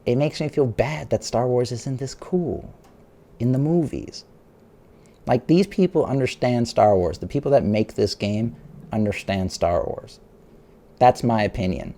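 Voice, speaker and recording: A man's voice: mid-range voice, unhurried, warm tone. A man live streaming a casual, emphatic, rambling rant to his chat, swearing now and then. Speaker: live streamer giving opinions.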